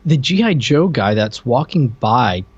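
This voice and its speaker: A man's voice: medium pitch, very animated, unhurried, breathy edge. A man co-hosting a show, talking casually and conversationally about cartoons, on dull lo-fi audio. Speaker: co-host.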